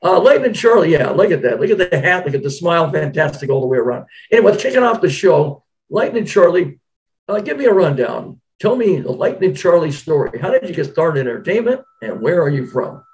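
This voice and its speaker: A man's voice: a little high, very animated, a little fast, darkish tone. A man hosting a casual interview, chatting enthusiastically and repeating himself as he goes. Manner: enthusiastic, repeats praise phrases. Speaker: show host interviewing a musician.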